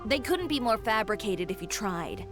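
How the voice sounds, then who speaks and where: An actress playing a proud, composed fashion designer who runs her own boutique, giving crisp, self-assured orders. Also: medium pitch, sing-song, moderate pace, natural voice. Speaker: boutique owner. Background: game music.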